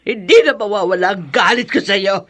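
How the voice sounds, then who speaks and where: An actress playing a bitter, unforgiving middle-aged widow who scolds and blames in a radio drama. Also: female voice, medium pitch, moderate pace, close to an everyday voice. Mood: bitter, accusing. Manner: scolding, accusatory.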